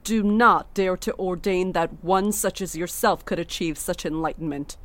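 An actress playing a stern, cold female cult leader in a horror audio drama, imperious and deliberate. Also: lowish voice, no room sound, close to an everyday voice. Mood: stern command.

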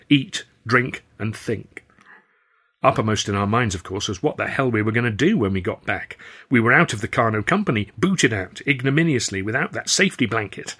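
A man's voice: lowish voice, a bit bright. A man narrating his own novel as a scripted audiobook. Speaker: author reading own novel.

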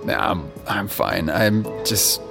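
An actor playing an easygoing, slightly distracted young boyfriend in an audio drama, halting and casual. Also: male voice, on the low side, gravelly. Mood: preoccupied, defensive. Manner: halting, casual, good-natured. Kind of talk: naturalistic audio drama acting. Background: music.

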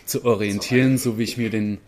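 A man's voice: on the low side, steady tone, brisk, a little mellow, slight background noise. A man, a stage actor, talking casually as a guest in an interview.

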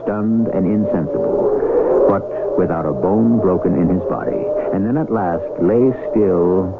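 Over loud music on a tinny radio recording, a man narrates with solemn, deliberate drama. His low voice is gravelly, a storyteller hosting a scripted radio play. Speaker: host and narrator.

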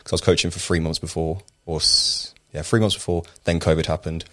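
A man from Tenerife working as a personal trainer, answering interview questions in a relaxed, rambling way. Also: deep voice, fairly quick. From Tenerife, Spain. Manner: relaxed, rambling, with fillers and restarts.

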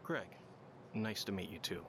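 An actor playing a gentle, fragile patient who speaks in a halting, fearful way. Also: male voice, sits low, slight background noise. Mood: polite. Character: fragile haunted older male patient.

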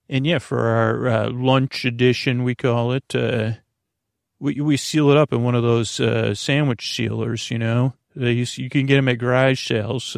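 A man hosting a bedtime podcast in a rambling monologue, halting and interrupting himself, with sentences that wander and trail off, in a gravelly voice. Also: medium pitch, no echo, clean studio sound. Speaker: podcast host.

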